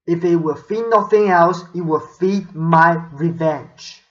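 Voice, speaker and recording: A man performing a bitter, vengeful moneylender in a Shakespearean monologue, declaiming with emphatic, deliberate phrasing. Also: on the high side, dull audio. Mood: bitter anger.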